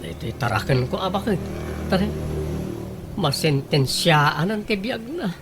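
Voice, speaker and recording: A man's voice: sits high, very animated, measured pace, music in the background. A man playing an exasperated but doting husband who fusses and protests over his precious rooster, in a comedic radio drama. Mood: pleading, mournful. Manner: fussy, questioning, protesting.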